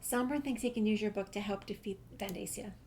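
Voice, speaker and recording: Female gameplay streamer, medium pitch, casual walkthrough asides and read-aloud dialogue, slight background noise. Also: a little fast, a little mellow.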